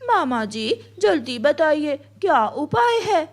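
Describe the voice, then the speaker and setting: A woman's voice: sits high, very animated, takes it slowly, full character voice. A woman playing a bossy, greedy female crow in a children's cartoon. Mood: eager, greedy.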